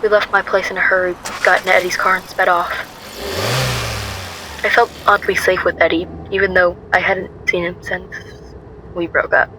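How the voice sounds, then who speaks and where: A woman's voice: on the low side, flat delivery, normal speed, noisy background, close to an everyday voice. A woman playing a frightened but determined young woman on the run in a first-person radio drama. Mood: reflective.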